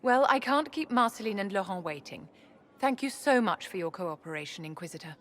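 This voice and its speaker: An actress playing a scheming aristocratic enchantress in a game, imperious and silkily condescending. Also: female voice, mid-range voice, animated, brisk, fairly bright tone, lightly acted. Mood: smug. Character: haughty, scheming aristocratic enchantress courtier, poised. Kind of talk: dramatic game acting.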